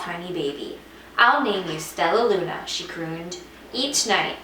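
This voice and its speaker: A woman reading a children's picture book aloud as a teacher would, with expressive, dramatic emphasis. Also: fairly low pitch. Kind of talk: expressive scripted storybook narration. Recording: echoey room, distant mic, faint noise.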